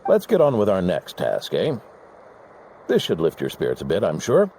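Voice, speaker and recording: Grizzled old knight, deep and gravelly, grave storyteller. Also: male voice, huge pitch swings, dark tone, slight background noise, full character voice. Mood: encouraging. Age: older. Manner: measured, storytelling gravity. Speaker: game character.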